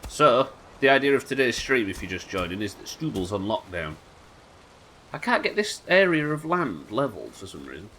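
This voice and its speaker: A man with a nasal voice, a little hoarse from illness, chatting wryly and swearing casually while streaming a game live. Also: mid-range voice, very animated, normal speed, a bit bright, slight background noise, rough recording. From United Kingdom. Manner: chatty, wry, swears casually, muttering asides. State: sick, slightly hoarse.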